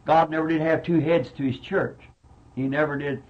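A man's voice: on the high side, steady tone, normal speed. A man preaching as pastor of his church in a Southern American accent, speaking earnestly and plainly and repeating words for emphasis. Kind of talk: sermon answering congregation questions. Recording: dull lo-fi 1960s tape recording.

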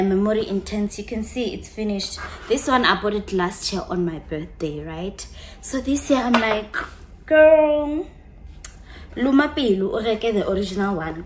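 A woman's voice: mid-range voice, expressive, unhurried, slight background noise. A young woman chatting to camera, gushing about her perfumes and slipping into local phrases. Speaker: vlogger. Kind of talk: casual chat to camera, showing perfumes.

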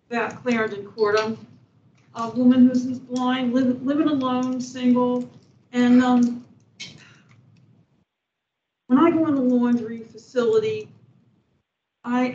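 Quiet woman, halting delivery, fairly high voice, echoey room, far from the mic. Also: a little hiss or hum. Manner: soft-spoken, halting with many restarts. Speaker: tenant giving public comment. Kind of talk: public comment at a meeting. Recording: echoey, distant, muffled meeting audio.

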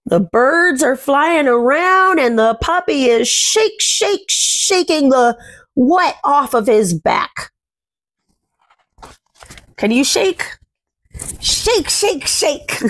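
A woman's voice: high voice, expressive, very slow, long pauses, fairly bright tone, dry recording. A young woman reading a picture book aloud to small children, expressively and with sing-song phrasing, chatting about it as she goes. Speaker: children's read-aloud host. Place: small indoor room at home.

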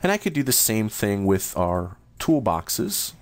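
A man walking through new software features in a steady, explanatory screen-recorded demo. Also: fairly low pitch, a little slow, dry recording. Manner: steady, explanatory, first-person demo narration. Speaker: software demonstrator.

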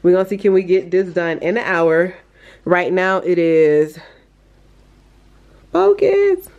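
A woman's voice: lowish voice, animated, slow pace, a little mellow, dull audio. A young adult woman, chatting casually and informally for a vlog in a bedroom at home. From United States. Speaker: vlogger.

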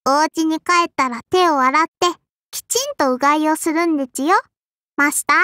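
A little sparrow girl who runs an inn and wields a sword in an anime mobile game. Her voice is extremely high, bright and childlike, with a soft lisp. She speaks politely and primly, strict and dutiful, in a dry, close recording. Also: moderate pace, no room sound. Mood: prim, cheerful. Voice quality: childlike, lisping. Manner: polite and prim, with a cutesy verbal tic. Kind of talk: Japanese anime mobile game character voice lines.

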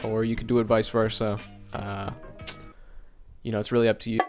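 A man's voice: fairly low pitch, a little fast, faint music behind. A young man whose first language is Finnish, talking casually and jokingly into a close handheld mic in a small bedroom as he walks through how he edited a video. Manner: chatty, jokey, animated. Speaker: video creator. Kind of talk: casual tutorial vlog. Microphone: handheld dynamic mic close up.

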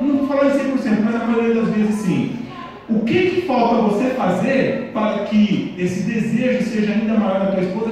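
A man's voice: high voice, mellow, slight background noise. A man in his thirties giving an emphatic church talk to married couples, speaking into a handheld mic in a small church hall. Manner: emphatic preaching, gesturing. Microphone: handheld mic, far camera. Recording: echoey hall from far off.

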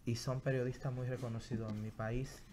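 A man, a guest journalist chatting haltingly with many fillers on a studio mic in a small TV studio. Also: medium pitch, flat delivery, heavy background noise. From Dominican Republic. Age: 30s. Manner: hesitant, many fillers and restarts. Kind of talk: casual interview chat. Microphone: studio mic on stand.

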